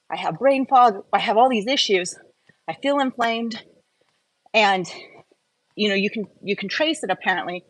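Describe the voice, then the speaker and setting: A woman speaks in a medium-pitched voice as the host of a live online wellness talk. She explains informally, addressing her viewers directly. Her delivery is conversational, moving step by step and posing questions that she then answers. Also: low-quality recording.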